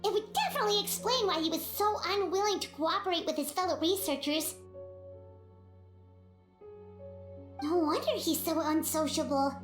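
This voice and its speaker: Game companion fairy: very high squeaky childlike voice, chirpy and opinionated, roomy echo. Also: female voice, brisk, faint music behind. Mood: convinced. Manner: chirpy, expressive, refers to self by name. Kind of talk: video game voice acting.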